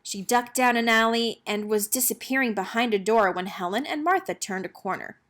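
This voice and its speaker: A woman reading a children's picture book aloud as an expressive storyteller. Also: on the high side, moderate pace.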